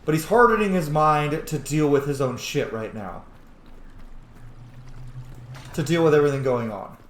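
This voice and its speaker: A man's voice: fairly high pitch, expressive, a little fast. Man doing game commentary in a roomy space. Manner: sweary, speaks character's thoughts aloud. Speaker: gaming video host. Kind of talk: casual roleplay game commentary.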